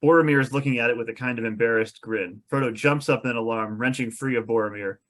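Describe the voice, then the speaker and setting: A man's voice: medium pitch, fast talker, fairly bright tone. A man reading scripted narration as the narrator of stage directions.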